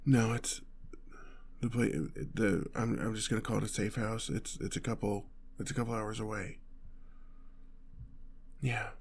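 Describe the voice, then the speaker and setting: A man's voice: on the low side, steady tone, a little slow, gravelly, long pauses, husky, full character voice. A man playing a protective, anxious boyfriend on the run, stammering and tender, in an intimate audio roleplay. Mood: calming, weary. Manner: stammering, urgent, tender reassurance. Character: panicked, protective boyfriend fleeing a gunman with his partner.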